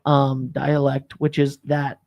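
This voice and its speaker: A man's voice: mid-range voice, completely flat delivery, slow pace, vocal fry, darkish tone, dull audio. A man hosting a casual morning talk show, rambling with plenty of fillers and restarts. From Rhode Island. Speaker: show host. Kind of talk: casual chat.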